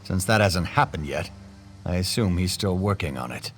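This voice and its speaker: A man's voice: low voice, animated, moderate pace, slight background noise. An actor playing a hot-tempered male nobleman who gives blunt, commanding orders.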